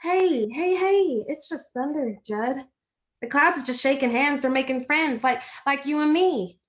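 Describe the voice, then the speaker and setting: Gentle American farm girl, played by a woman, folksy and comforting, for a stage drama reading. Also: high-pitched, unhurried. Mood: soothing. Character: gentle, chatty rural girl, playful and comforting.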